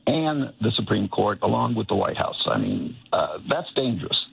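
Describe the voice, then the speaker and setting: A man's voice: fairly low pitch, wildly swooping pitch, moderate pace, gravelly, breathy edge. A man calling in to a radio show, laying out an earnest argument step by step. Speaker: caller. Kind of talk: call-in commentary. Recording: phone line into radio show.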